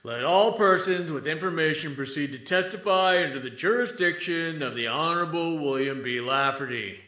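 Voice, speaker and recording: A man's voice: on the high side, animated, normal speed, sharp tone, lo-fi sound. A man playing a formal, officious court crier in a 1950s radio drama, declaiming the proceedings. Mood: officious, proclaiming. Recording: roomy, band-limited sound.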